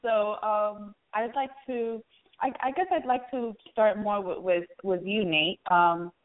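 A woman's voice: mid-range voice, fairly even intonation, measured pace. A woman hosting a casual, conversational interview, heard over a tinny phone-style line. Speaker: interviewer, show host.

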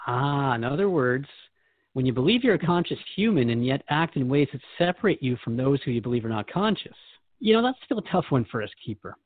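A man's voice: medium pitch, big pitch swings, fairly quick, narrow, tinny audio. A man hosting a conversational interview, asking questions in a wry, candid way. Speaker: host and questioner.

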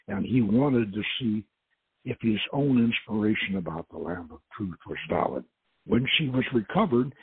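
Deep gravelly guy narrating a novel out loud, kinda muffled lo-fi audio. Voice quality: gravelly, husky. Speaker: host reading his own novel aloud. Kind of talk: dramatic audiobook-style narration. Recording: dull, lo-fi audio.

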